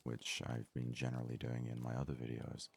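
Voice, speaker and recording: A man with a deep, rough, gravelly voice gives soft-spoken, unhurried ASMR commentary as a gaming host. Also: completely flat delivery. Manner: soft-spoken, low-key, unhurried musing. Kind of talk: ASMR let's play commentary.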